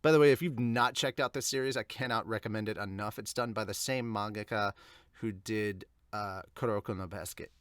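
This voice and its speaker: A man's voice: fairly low pitch, fairly quick, a bit bright, no room sound, studio quality. A man hosting a podcast, chatting informally and directly to his viewers. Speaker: host of a manga reaction podcast. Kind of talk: casual chat to viewers and manga read-aloud with commentary.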